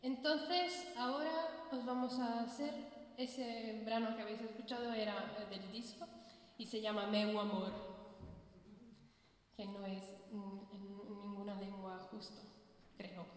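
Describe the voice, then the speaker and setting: A woman talking with the audience between songs as singer and bandleader, relaxed and chatty with hesitant fillers, on a stage mic. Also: medium pitch, a little slow. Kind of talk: between-song stage banter. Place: concert hall with a live audience. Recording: very echoey hall, long reverb, faint guitar.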